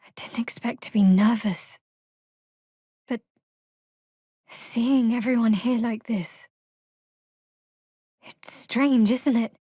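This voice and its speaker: An actress playing a guarded, sardonic young woman cleric of a dark goddess in a video game. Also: fairly high pitch, slightly rough, no echo. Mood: uneasy. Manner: dry, sardonic, measured. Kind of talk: video game character dialogue.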